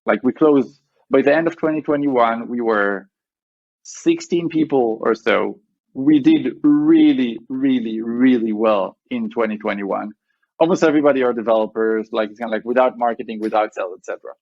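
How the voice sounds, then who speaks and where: A man's voice: medium pitch, moderate pace, no echo, muffled recording. A man with an Israeli accent, a startup CEO being interviewed, who rambles with many fillers and restarts. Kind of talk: conversational podcast interview.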